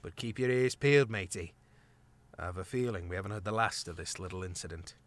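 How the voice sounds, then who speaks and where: A man voicing a steady, weary male warrior mouse who leads the group. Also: deep voice, a little fast, pitched below the performer's own voice, natural voice.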